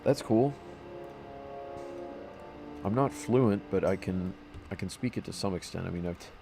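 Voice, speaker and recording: A man's voice: low-pitched, normal speed, creaky. A man streaming a game, chatting casually with his chat in a rambling way, full of restarts and fillers. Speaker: livestreamer playing a game. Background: background music.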